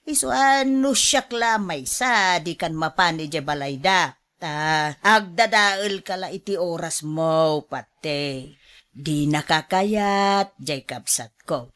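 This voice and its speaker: An actress with an Ilocano accent playing a teasing, scheming, flirty younger woman in a radio drama, with playful, drawn-out delivery. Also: fairly low pitch, lively intonation, fairly bright tone. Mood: gossipy, discouraging.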